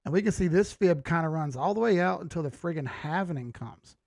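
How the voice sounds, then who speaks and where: A man's voice: fairly high pitch, animated, brisk, creaky, darkish tone, no room sound, studio quality. A man hosting a live stream, giving casual commentary and thinking aloud in slangy, informal language. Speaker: host of a live market analysis stream.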